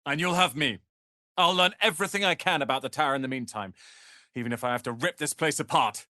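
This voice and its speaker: A man's voice: on the high side, fast, pushed and bright, no echo, studio quality. A man playing a proud, prickly young apprentice wizard in a video game, speaking in a haughty, clipped and articulate way. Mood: urgent, resolute. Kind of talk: dramatic video game voice acting.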